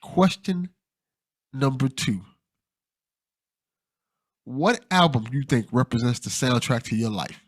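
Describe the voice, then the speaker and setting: A man's voice: mid-range voice, animated, slow, long pauses, dry recording. A man hosting a casual podcast interview, with a playful dramatic delivery when announcing questions and an easy laugh. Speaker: podcast host.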